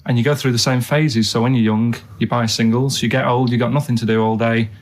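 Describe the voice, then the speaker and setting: Halting, matter-of-fact man telling a story. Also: mid-range voice, fairly even intonation. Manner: halting, matter-of-fact, vague storytelling full of tags like "right?". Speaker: radio show co-host telling odd stories. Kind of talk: casual rambling anecdote.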